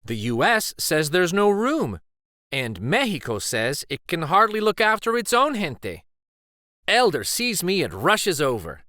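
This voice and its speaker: A man reading a children's picture book aloud with expressive, deliberate storytelling delivery. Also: sits high, no echo, studio quality.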